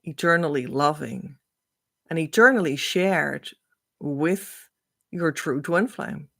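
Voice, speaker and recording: Slow-speaking woman teacher. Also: deep voice, a bit bright. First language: Dutch. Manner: earnest, reassuring, unhurried. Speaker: spiritual teacher. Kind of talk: spiritual teaching talk.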